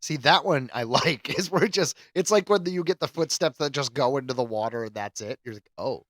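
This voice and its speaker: Guy on a podcast just chatting, rambles and restarts, mid pitch, really dry sound. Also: fairly bright tone, no echo. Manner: rambling, halting, conversational. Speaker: podcast co-host. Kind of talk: casual chat.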